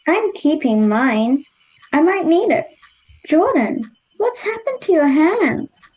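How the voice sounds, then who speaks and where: A woman's voice: sits high, big pitch swings, slow, a little mellow, highs cut off, heavily acted. An Australian girl of ten or eleven playing a plucky, defiant girl from the past in an amateur radio play. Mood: curious. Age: child, 10 to 11. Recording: home video-call recording.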